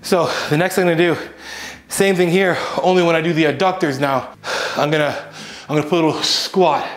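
A man's voice: a little high, brisk. A man in his thirties talking casually through a home workout, explaining each exercise as he goes in a small indoor gym. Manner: relaxed, explanatory, talks while exercising. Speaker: fitness coach.

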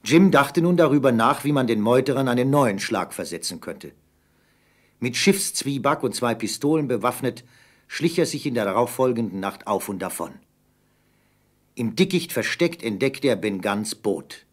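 A man's voice: medium pitch, expressive, normal speed. A man narrating an adventure radio play in a scripted storytelling style.

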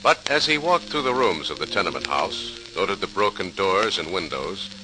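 Animated male old-time radio narrator. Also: low-pitched, moderate pace. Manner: dramatic, formal. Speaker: announcer and narrator. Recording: noisy, hissy old radio recording.

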